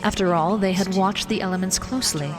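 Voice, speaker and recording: A woman's voice: medium pitch, fairly quick. A woman reading an ancient creation myth as scripted storybook narration in an audio drama. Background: prominent background music.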